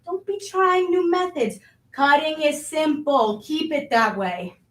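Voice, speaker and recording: A woman's voice: high-pitched, unhurried, a bit bright. A woman playing an abrasive, impatient, foul-mouthed restaurant boss in a staged reading, brash, blunt and badgering. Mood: bossy, grumbling. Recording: roomy echo.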